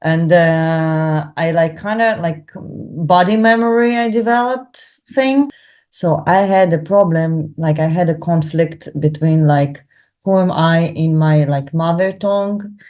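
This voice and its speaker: Young woman, english not her first language, low mellow voice, lots of um and like, stops to find words. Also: steady tone, takes it slowly. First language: not English, not Hebrew. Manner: hesitant, searching for words, many fillers. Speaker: Hebrew learner interviewed about her course. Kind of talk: casual testimonial interview.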